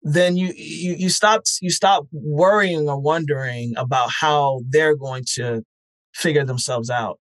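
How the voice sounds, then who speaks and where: A man speaking as an interview guest in a reflective, conversational way, earnest and halting, repeating words as he thinks. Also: on the high side, fairly bright tone, no room sound. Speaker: interview guest, festival founder.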